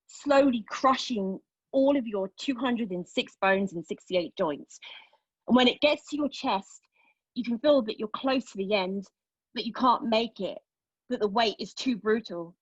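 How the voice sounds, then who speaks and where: A haunted young woman played by an actress delivers an intimate theatrical monologue in a husky voice. Her delivery is halting and intense, moving between hushed confession and sharper emphasis. The sound is close and dry, though a little dull and lo-fi. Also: mid-range voice, no room sound. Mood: anxious, oppressed. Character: haunted young woman recounting a painful memory. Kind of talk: dramatic monologue read at a table read of a play.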